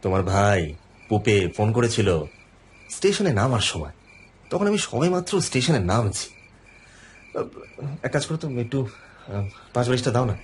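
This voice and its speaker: An actor playing a harried, placating, gossipy husband in an audio horror drama, speaking colloquially and hesitantly with sighs and fillers. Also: male voice, mid-range voice, very animated, normal speed, pauses often, slight background noise, natural voice. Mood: uneasy, gossipy. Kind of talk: Bengali audio horror story acting. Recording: background music under the voice.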